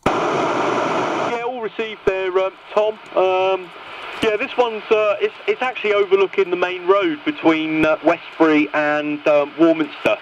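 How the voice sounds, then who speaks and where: A man has a casual, matey chat on CB radio. His slightly high voice sounds distant and dull, with clear noise on the signal. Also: little pitch movement, unhurried. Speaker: CB radio operator. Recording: distant, muffled, noisy CB radio from vehicle.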